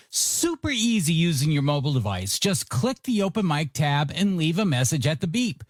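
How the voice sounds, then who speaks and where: A man working as a music radio DJ, giving showy announcer patter with drawn-out phrase endings and playful, wry asides. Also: a little high, no echo, studio quality. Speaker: radio DJ and host. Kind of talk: music radio DJ patter, announcing songs and reading light news stories. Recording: radio broadcast with music underneath. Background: music bed.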